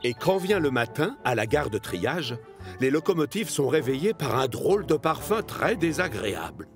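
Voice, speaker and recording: A man's voice: fairly high pitch, very animated, moderate pace, soft background music. A man narrating a scripted children's story.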